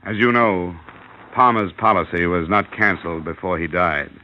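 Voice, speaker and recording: An actor playing a hard-boiled insurance investigator, narrating in clipped, sardonic old-radio noir style. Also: male voice, deep voice, moderate pace, natural voice. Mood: wry, dry. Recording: dull old radio recording.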